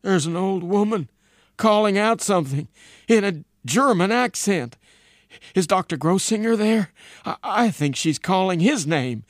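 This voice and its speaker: An earnest, weary radio officer from a storybook, put on by a male narrator, speaks in a high, lifted voice. He delivers his messages haltingly and deliberately, with very little room sound around him. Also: expressive, no echo, studio quality. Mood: hesitant.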